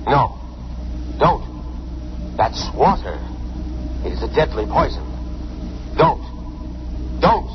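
A man playing a stubborn, patriotic alien lifeboat computer in a radio drama, with formal, measured, ceremonious delivery. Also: mid-range voice, sing-song. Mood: distressed pleading. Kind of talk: 1950s radio drama acting. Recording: muffled, noisy old radio recording.

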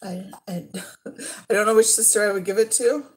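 A woman's voice: medium pitch, breathy edge. A woman in her thirties chatting casually through a thrift haul at home, describing each item as she handles it. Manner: unhurried, trailing off while handling items. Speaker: vlogger. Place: living room at home.

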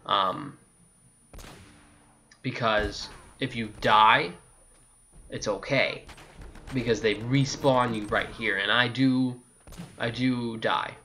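A man's voice: mid-range voice, fairly even intonation, slow pace, pauses often, bright, forceful tone, slight background noise. A man giving a casual, sweary, exasperated video game walkthrough commentary.

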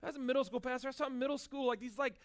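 High-voiced animated man preaching. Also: very fast. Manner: animated, conversational preaching with frequent "right?" asides. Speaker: pastor. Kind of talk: sermon. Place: church stage with band gear.

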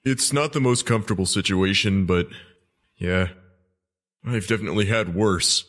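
A man voicing a weary, defeated captive monster, husky and dryly resigned. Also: lowish voice, moderate pace, fairly bright tone, big-room sound. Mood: weary resignation. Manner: resigned, dry.

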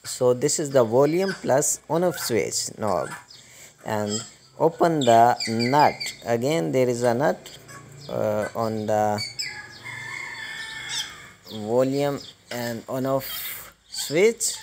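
A man hosting a hands-on electronics repair tutorial, explaining step by step in a halting manner. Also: mid-range voice. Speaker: host of electronics repair channel. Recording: clearly noisy recording with clicking.

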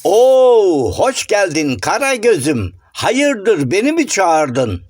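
Eager, polite cartoon gentleman, swooping intonation, coaxing, dry close sound. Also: sits high, very animated, slow pace, dry recording, heavily acted. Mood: fawning, surprised. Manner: courteous, coaxing, sing-song explaining. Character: polite, chatty, eager, well-mannered male shadow-puppet gentleman. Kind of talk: children's cartoon voice acting.

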